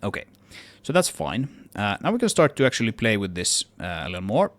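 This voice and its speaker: A man giving a casual software tutorial, thinking aloud, correcting himself and trailing off as he works. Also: fairly low pitch, big pitch swings, fairly quick. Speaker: tutorial presenter, game audio developer.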